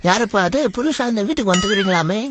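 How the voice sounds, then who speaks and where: A woman's voice: mid-range voice, expressive, quick pace, background noise, close to an everyday voice. A woman voicing a brash, cheeky child's inner thoughts in a comic dub, mocking and slangy.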